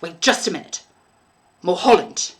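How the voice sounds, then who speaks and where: A woman reading a storybook aloud as a polite, puzzled young lodger, a young man who talks in an earnest, halting, musing way. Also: on the low side, sing-song. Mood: searching memory.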